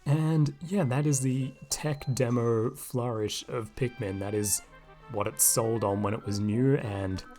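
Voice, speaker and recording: A man with a slightly raspy voice, casually commenting over gameplay into a desk microphone and thinking aloud with lots of asides. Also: medium pitch, measured pace, warm tone, soft background music. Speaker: video game commentator. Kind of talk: casual let's-play commentary.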